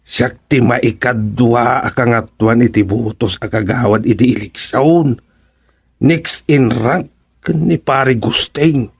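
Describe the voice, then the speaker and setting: A man's voice: mid-range voice, slow, gravelly, husky, no room sound, studio quality, heavily acted. An actor with an Ilocano Filipino accent playing a shifty, bumbling village councilman who hems and stammers, in a radio comedy drama. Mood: sly, hesitant. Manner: hesitant, stammering, full of ums.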